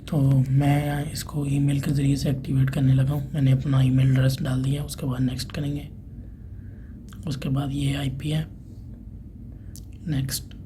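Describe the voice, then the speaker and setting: A man's voice: mid-range voice, monotone, normal speed, pauses often. A man giving a plain, unscripted, step-by-step hands-on tech tutorial as an installer. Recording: clearly noisy room ambience.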